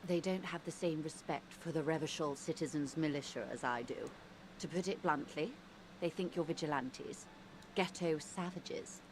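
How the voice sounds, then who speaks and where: A woman voicing a composed middle-aged mediator who speaks calmly and precisely. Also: sits low, a little hiss or hum, lightly acted. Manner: calm, precise, measured.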